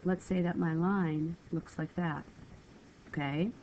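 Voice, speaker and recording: A woman's voice: lowish voice, fairly even intonation, slow, warm tone. A woman teaching a math lesson over a screen recording, talking step by step in a patient, apologetic way, a little stuffy from a cold. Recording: dull lo-fi screen recording with faint hiss.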